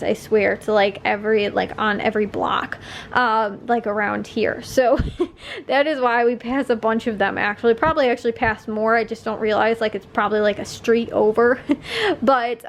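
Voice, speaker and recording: A woman's voice: fairly high pitch, a little hiss or hum. A young woman vlogger chatting casually, rambling with lots of fillers and a slightly sniffly voice. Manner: chatty, rambling with many fillers and little laughs. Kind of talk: casual chat.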